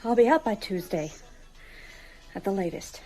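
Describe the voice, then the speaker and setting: Actress as emotional young woman, animated voice. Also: mid-range voice, normal speed, natural voice, poor audio. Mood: hurt defiance. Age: young adult. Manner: earnest, emotional. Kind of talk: radio drama acting.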